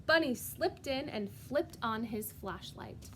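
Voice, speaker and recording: A woman reading a storybook aloud as a preschool teacher, expressive and sing-song, pausing to put questions directly to young children. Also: on the high side, slight background noise.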